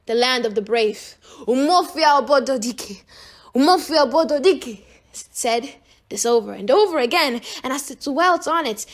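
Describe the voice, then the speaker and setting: High-voiced woman reading a story aloud. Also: fairly bright tone. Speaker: audiobook narrator. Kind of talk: expressive amateur audiobook narration.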